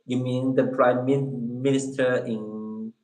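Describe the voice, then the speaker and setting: Male streamer with a Chinese accent, unhurried and halting, mid-pitched. Casual viewer chat in an echoey room. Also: little pitch movement, a little mellow. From Eastern China. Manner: halting, repeats words, searches for English phrases. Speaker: livestream host.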